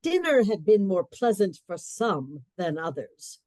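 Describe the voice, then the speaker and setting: A woman giving scripted narration for a radio play, measured and storytelling. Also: medium pitch, sing-song, dark tone, dry recording.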